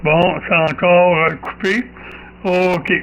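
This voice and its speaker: A man's voice: high-pitched, fairly even intonation, takes it slowly. A man with a Québécois accent, chatting casually as an amateur radio operator and repeating short affirmations. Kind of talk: casual radio contact chat. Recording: faint radio hiss and ambience.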